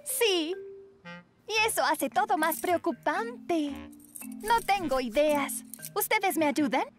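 An earnest teenage girl from a cartoon, talks in a very high voice. She sounds sincere and youthful. Music runs behind her. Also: animated, takes it slowly, heavily acted. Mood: worried. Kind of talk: animated children's cartoon dub.

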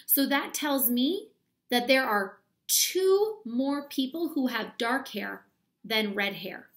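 Lady teacher in her 30s doing a kids read aloud, highish voice w lots of fry, walks you thru it step by step. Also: lively intonation, takes it slowly. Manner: explains step by step with questions to young listeners. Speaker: elementary school teacher reading aloud. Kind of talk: instructional read-aloud for children. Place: small room at home, indoors.

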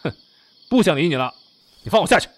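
A man's voice: on the low side, sing-song, brisk. An actor in an audio drama playing a modern young man who is doting, exasperated and secretly lovesick. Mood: sulky.

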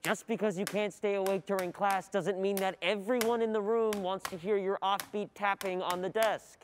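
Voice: mid-range voice, fairly even intonation, normal speed, slight background noise, natural voice. An actor playing an irritated, distracted student in a radio play monologue, exasperated and sarcastic.

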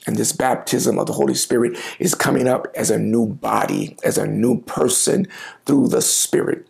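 A middle-aged man, a pastor, preaching a sermon to the camera from a home office, reading scripture and explaining it with lively gestures. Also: mid-range voice, vocal fry. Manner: animated gestures, reading and explaining scripture, occasional quiet asides. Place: home office with bookshelves, indoors. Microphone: lapel mic, seated at desk.

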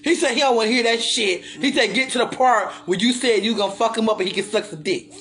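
A woman's voice: on the high side, fast, fairly bright tone. A middle-aged American woman on a casual livestream, ranting bluntly and emphatically with lots of swearing, repeating her points. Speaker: livestream host. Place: living room, indoors. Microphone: phone at arm's length. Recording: noisy phone livestream.

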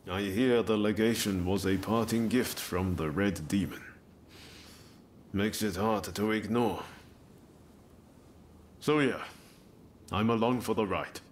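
A man's voice: fairly low pitch, slow, pauses often, slight background noise. A man playing an easygoing, laconic wandering samurai in a video game, casual and understated. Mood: laid-back. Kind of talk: naturalistic video game voice acting.

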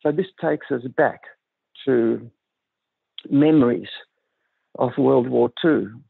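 Older male guest, gravelly and husky, measured and reflective, heard over a dry, tinny phone line. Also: medium pitch, no room sound. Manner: measured, thoughtful, halting with restarts. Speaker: guest psychiatrist. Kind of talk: reflective interview talk.

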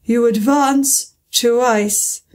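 A woman voicing a melancholy male turtle in a storybook, with a drawn-out, solemn delivery. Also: on the high side, steady tone, a little mellow. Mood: wistful excitement. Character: melancholy old male turtle, sobbing and nostalgic.

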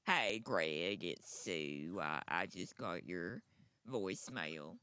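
A woman's voice: rumbling low, animated, slow pace, bright, forceful tone, a little hiss or hum, voice pushed down, exaggerated voice. A young woman voicing a polite, folksy older lady for prank calls, full of sweet endearments. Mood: polite, businesslike. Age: young adult. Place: outdoors in a garden. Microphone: handheld microphone.

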